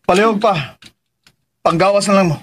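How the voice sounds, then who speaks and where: A headstrong young master in a radio drama. His voice is high for a man, insistent and bossy, with clear noise in the recording. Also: normal speed, fairly bright tone. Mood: defiant. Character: willful, demanding young man in a wheelchair, Dennis.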